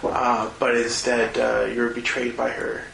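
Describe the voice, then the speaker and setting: Unhurried game master narrating. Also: male voice, medium pitch, completely flat delivery, gravelly, fairly bright tone, echoey room, a little hiss or hum. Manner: halting narration with many "uh" pauses. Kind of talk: narrating a tabletop roleplaying game.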